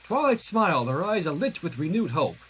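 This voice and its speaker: A man reads fan fiction aloud as a host. His voice sits high for a man and swings widely in pitch, with a husky grain. He narrates expressively and drops in casual commentary. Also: fairly quick. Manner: expressive, acts out dialogue. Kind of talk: dramatic read-aloud of a story with casual commentary.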